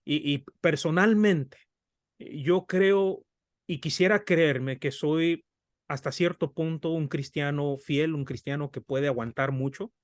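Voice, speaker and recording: A man's voice: fairly high pitch, takes it slowly, dry recording, clean studio sound. A middle-aged man from Mexico with a Mexican Spanish accent, giving an earnest, conversational Bible study sermon. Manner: earnest, conversational, addresses listeners as brothers. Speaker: preacher.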